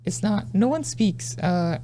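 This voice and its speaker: A man's voice: sits high, animated, slow, mellow, soft background music. A 27-year-old man, a podcast guest talking in an easygoing, hesitant way with many fillers. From Singapore. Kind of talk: casual interview chat.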